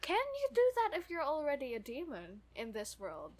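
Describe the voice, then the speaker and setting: Higher-voiced woman in wry casual chat. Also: huge pitch swings, normal speed. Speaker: podcast co-host. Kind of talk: casual fan discussion.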